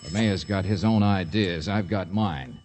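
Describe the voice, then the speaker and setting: An actor playing a brash, wisecracking private investigator in a 1950s radio drama, with clipped, slangy, insistent delivery. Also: male voice, low voice, a little slow, a little mellow, in a large hall. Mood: hurried, determined.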